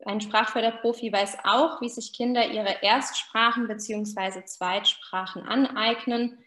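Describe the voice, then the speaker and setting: A woman's voice: a little high, fairly even intonation, measured pace, a bit bright. A woman in her thirties giving an explanatory online lecture as a researcher, addressing her listeners directly. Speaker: university researcher presenting a talk. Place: small indoor room, video call. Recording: roomy, echoing webcam sound.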